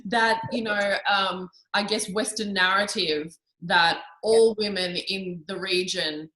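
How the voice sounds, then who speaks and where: A woman's voice: mid-range voice, fairly even intonation, slow, echoey room, poor audio. A woman hosting a video-call interview, encouraging and affirming her guest often. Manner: warm and encouraging, affirms often with "I know" and "absolutely". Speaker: interview host.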